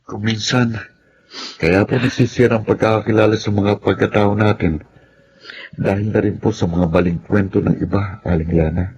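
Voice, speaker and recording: An actor with a Filipino accent playing a calm, respectful friend in a radio drama, measured and polite. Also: male voice, on the low side, lightly acted. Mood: sympathetic. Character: Alfred, calm, respectful, sympathetic male friend. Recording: dull audio with faint noise.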